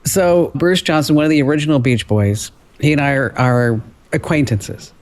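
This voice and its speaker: A woman hosting a radio show in casual on-air chat, with a deep, raspy voice and a playful, joking manner. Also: normal speed, darkish tone. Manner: playful, joking, laughs often.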